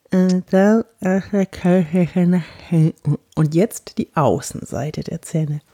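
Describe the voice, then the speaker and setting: A woman from Franconia with a light Bavarian lilt, an actress and body-language coach giving a chatty podcast lesson on clear speech and slowly guiding mouth exercises. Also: lowish voice, warm tone. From Franconia, Germany. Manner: guides exercises slowly and deliberately; chatty and personal.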